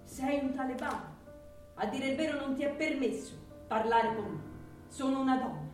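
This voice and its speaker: Woman acting defiant afghan mom, high voice, insistent, theatrical, far from the mic with faint music. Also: moderate pace, lightly acted. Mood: challenging. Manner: emphatic, challenging, insistent. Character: defiant Afghan mother challenging a Taliban suitor. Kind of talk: theatrical stage drama acting. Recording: echoey distant stage recording with music.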